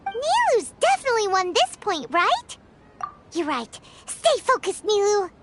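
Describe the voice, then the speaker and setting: A woman voicing a tiny, childlike, excitable fairy companion in an anime-style game, with a squeaky cheering voice. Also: a little slow, loud music behind the voice, full character voice. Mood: excited, encouraging.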